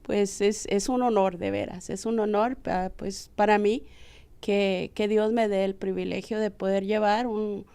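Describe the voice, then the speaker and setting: A woman's voice: medium pitch, fairly even intonation, measured pace, dark tone, smooth. A woman in her forties from the Texas border, telling her story casually and humbly as an interview guest, with hesitant fillers. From Texas border region, Mexican family. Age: 40s.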